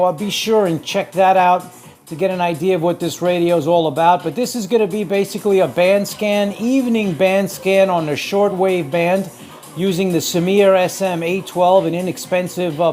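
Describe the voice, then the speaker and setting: A man's voice: high voice, mellow. A man casually narrating a radio band scan as host, announcing frequencies like a DJ.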